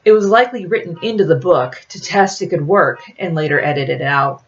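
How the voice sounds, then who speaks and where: A woman's voice: deep voice, fairly quick, muffled recording, slight background noise. A woman voicing a prim, secretive female ally in a novel reading, with a firm, formal manner. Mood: matter-of-fact.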